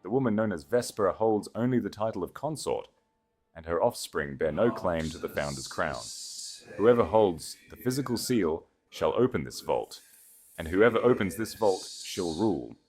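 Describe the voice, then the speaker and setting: Guy doing a stern royal captain in a fantasy audiobook, deep, formal, booming out orders and decrees. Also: lively intonation, normal speed, a little hiss or hum. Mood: solemn, proclaiming. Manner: formal, proclaiming, forceful. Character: loyal guard captain, male, solemn and commanding.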